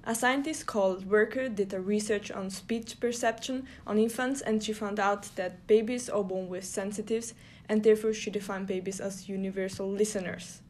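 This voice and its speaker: Soft-spoken young woman from Italy. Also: mid-range voice. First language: Italian and German. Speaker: podcast co-host. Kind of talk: reading prepared notes.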